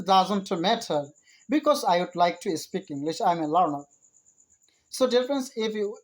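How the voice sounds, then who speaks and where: A woman with a Bengali accent, a native Bengali speaker giving an earnest, halting motivational English lesson in learner's grammar. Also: medium pitch, poor audio. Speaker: spoken English teacher.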